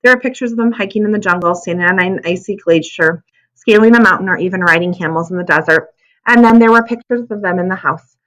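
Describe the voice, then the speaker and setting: A woman narrating a children's book aloud as an expressive storyteller. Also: on the low side, quick pace, poor audio.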